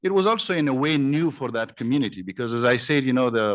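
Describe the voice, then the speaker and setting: A man's voice: medium pitch, lively intonation, very fast, fairly bright tone. A man speaking as a conference panelist, hesitant, with many fillers and restarts. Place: conference breakout room.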